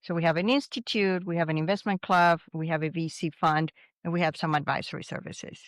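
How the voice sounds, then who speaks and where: A woman's voice: on the low side, fairly even intonation, a little fast, dry recording. Woman in her 60s from venezuela, thoughtful interview guest, restarts a lot, dry close sound. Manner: reflective, with frequent restarts. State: subdued, grieving a recent loss. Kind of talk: casual interview talk.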